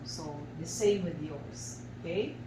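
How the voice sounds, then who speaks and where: A woman with a Filipino accent leads a guided expressive arts lesson as facilitator, speaking deliberately with measured pauses. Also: fairly low pitch. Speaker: workshop facilitator and teacher. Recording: echoey, distant microphone, loud background noise.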